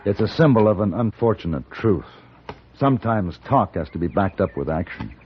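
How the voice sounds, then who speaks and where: Steely, authoritative gunman, low and expressive, for a vintage radio Western with muffled, noisy sound. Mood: firm. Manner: measured, terse, authoritative. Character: steely, commanding Western gunman, calm but firm. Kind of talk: 1950s radio Western acting.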